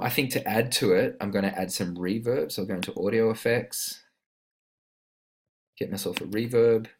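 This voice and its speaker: A man with a fairly low voice narrates a software tutorial in an informal, step-by-step way. He explains like an instructor at his computer, with synth instrument sounds clearly heard along with his voice. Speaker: instructor of a music production tutorial. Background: synth instrument notes played during the demonstration.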